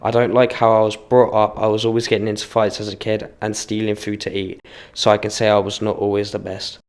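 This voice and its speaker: A man's voice: lowish voice, completely flat delivery, a little fast. A young man, speaking candidly in personal testimony for a short film.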